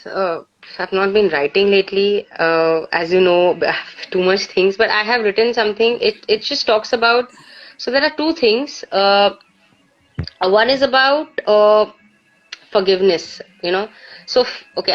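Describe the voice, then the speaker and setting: A woman with an Indian accent speaking reflectively on a live poetry session, in a halting way peppered with "you know". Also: medium pitch. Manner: halting, peppered with 'you know'. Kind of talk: casual reflective chat and poetry recitation. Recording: dull lo-fi live stream audio.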